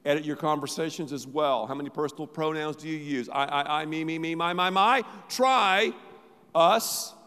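A middle-aged man preaching in a large church, his voice pitched high for a man. He hammers phrases again and again, jumping from loud bursts to hushed asides. A long hall reverb trails each line. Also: moderate pace, clean tone. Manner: emphatic, repeats phrases, sudden swings from loud to quiet. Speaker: pastor. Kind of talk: sermon.

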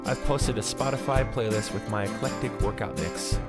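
A young adult man with a soft voice, hosting his own music podcast and casually explaining the songs he plays. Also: fairly low pitch, moderate pace. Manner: relaxed, explanatory, soft-spoken. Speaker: podcast host and songwriter. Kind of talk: casual spoken introduction to a song.

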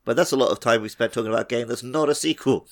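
A man's voice: medium pitch, racing. A man chatting casually and giving his opinions on a podcast. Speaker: podcast guest or panellist. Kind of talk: casual chat.

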